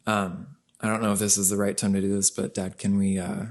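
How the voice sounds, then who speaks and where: A man's voice: lowish voice, level tone, brisk. A young man co-hosting a podcast, explaining ideas casually and peppering his talk with "like". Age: young adult. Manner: explaining, casual, lots of "like" fillers. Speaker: podcast co-host. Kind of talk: casual conversation.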